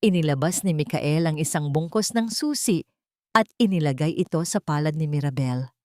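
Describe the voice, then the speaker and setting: A woman narrating a scripted dramatic story in a measured, solemn storytelling manner. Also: low-pitched, lively intonation, no echo, studio quality. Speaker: narrator of Tagalog love stories.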